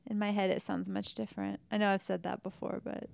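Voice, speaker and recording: Woman in her thirties, podcast co-host: small light voice with fry, dry close sound, wry and teasing. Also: medium pitch, little pitch movement, fairly quick, a little mellow, dry recording. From Tennessee. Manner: wry, teasing, laughs often. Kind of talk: casual chat.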